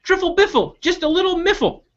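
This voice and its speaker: A man's voice: extremely high pitch, lively intonation, brisk, a bit bright, muffled recording, voice pushed up. A man voicing a playful little female brown bird who chirps nonsense in a children's storybook. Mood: silly, playful.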